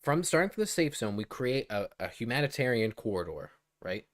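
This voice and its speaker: A man's voice: mid-range voice, big pitch swings, fairly quick. A man co-hosting a gaming podcast, talking in casual, joking banter. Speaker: podcast co-host.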